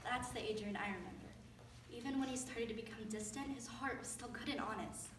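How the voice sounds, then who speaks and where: A woman's voice: on the high side, a little fast, lightly acted. A woman acting a grieving, solemn mother in an anguished readers theater monologue. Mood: wistful. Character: grieving mother of a school shooter. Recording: echoey room, distant mic, faint noise.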